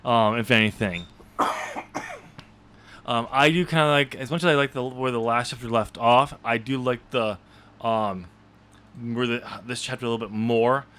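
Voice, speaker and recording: A man with a medium-pitched voice co-hosts a casual podcast. He talks through a story informally and haltingly, often searching for the right words. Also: fairly bright tone, clear, resonant tone. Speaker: podcast co-host. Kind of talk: casual chat discussing a story.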